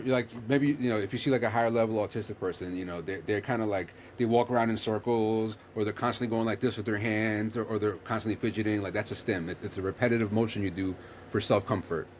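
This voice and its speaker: A man's voice: lowish voice, a little fast, a little hiss or hum. A man hosting a casual chat, explaining earnestly while rambling and restarting his sentences.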